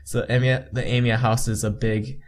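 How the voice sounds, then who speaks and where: A man doing a game playthrough, reading visual novel text aloud haltingly and adding his own asides. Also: medium pitch, level tone. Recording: game music behind the voice. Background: game music.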